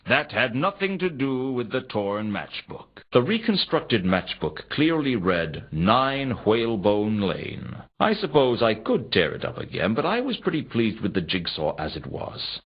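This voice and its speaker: An actor playing a wry, world-weary private detective, narrating a noir adventure game in a deadpan, sardonic way. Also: male voice, mid-range voice, a little slow, hardly any pauses, husky, heavily acted. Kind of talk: scripted first-person noir narration. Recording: dull, lo-fi ripped game audio.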